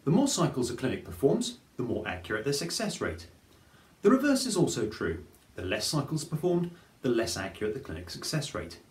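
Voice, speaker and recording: A man, presenting a scripted explainer to camera in a clear, measured way. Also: mid-range voice, big pitch swings. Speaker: fertility advice presenter. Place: plain studio with grey backdrop. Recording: roomy studio echo.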